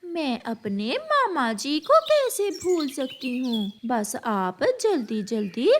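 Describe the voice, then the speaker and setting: A woman's voice: high voice, sing-song, slow pace, a little hiss or hum, heavily acted. A woman voicing a greedy, scheming young crow wife in a cartoon, theatrical and falsely sweet in manner. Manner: theatrical, wheedling.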